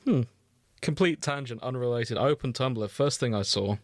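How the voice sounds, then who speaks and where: A man's voice: medium pitch, moderate pace, dry recording. Man chatting casually, dry close sound. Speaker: podcast co-host. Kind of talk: casual chat.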